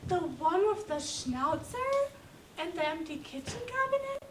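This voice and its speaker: A probing lady prosecutor from a comic stage play, acted by a woman. The voice is very high and takes its time. It is distant, echoey and buried in loud noise. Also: big pitch swings, measured pace, full character voice. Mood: curious, sardonic. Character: brisk female assistant prosecutor questioning witnesses. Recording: echoey, noisy, distant microphone.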